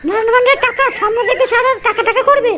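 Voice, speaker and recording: তীক্ষ্ণ, অতি উঁচু গলার ঝগড়াটে গ্রাম্য বউ, পশ্চিমবঙ্গের টান. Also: female voice, extremely high pitch, fairly even intonation, very fast, over a noisy crowd. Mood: exasperated. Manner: scolding, commanding. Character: shrill, bossy, foul-mouthed village wife. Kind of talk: Bengali comedy cartoon dialogue.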